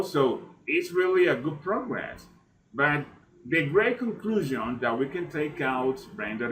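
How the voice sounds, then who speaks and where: A man teaching English in a practice conversation, speaking slowly and with a non-native accent, filling pauses with "you know" as he rambles. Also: mid-range voice, a bit bright, slight background noise, low-quality recording. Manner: slow, rambling speech with many "you know" fillers.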